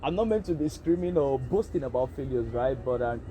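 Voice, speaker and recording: A man's voice: medium pitch, lively intonation, a little fast, dark tone, a little hiss or hum, poor audio. A young Nigerian man with a Nigerian accent, vlogging in a hesitant, reflective way, full of fillers. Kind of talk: casual self-interview monologue.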